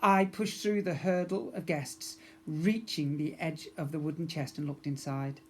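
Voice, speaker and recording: A man's voice: on the high side, fairly even intonation, a little slow, a little mellow, slight background noise. Man reading a storybook expressively. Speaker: teacher reading to young children.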